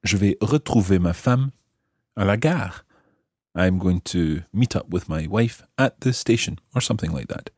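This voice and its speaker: Animated, husky-voiced male language teacher. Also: low voice, no echo, clean studio sound. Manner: explanatory, repeats phrases slowly and deliberately. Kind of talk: scripted language lesson narration.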